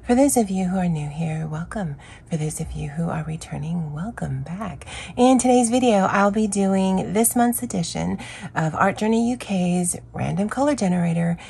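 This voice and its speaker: A hoarse woman with a scratchy voice hosting a chatty, rambling coloring vlog. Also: fairly low pitch, animated, normal speed, dark tone. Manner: chatty, rambling, with fillers and self-corrections. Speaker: craft channel host. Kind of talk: casual coloring vlog chat.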